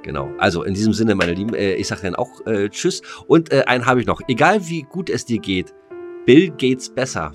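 Low-voiced man, slangy podcast banter. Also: big pitch swings, a little fast. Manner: slangy, rambling, jokey. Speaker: podcast co-host. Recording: music playing under the voice.

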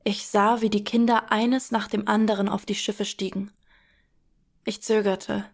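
An actress playing a hesitant, devout medieval girl, narrating reflectively in a radio play. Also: medium pitch. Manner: deliberate, reflective. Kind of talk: dramatic radio play narration, archaic German.

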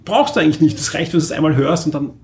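A man's voice: a little high, animated, rapid-fire, darkish tone, a little hiss or hum. A man co-hosting a podcast, chatting casually and rambling with many fillers and restarts. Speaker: podcast co-host. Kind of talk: casual chat about radio plays.

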